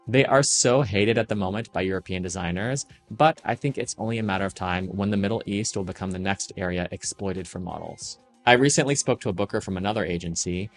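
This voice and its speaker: Low-voiced man narrating a serious essay. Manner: measured, serious, critical. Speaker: fashion industry commentator. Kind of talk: scripted video-essay narration.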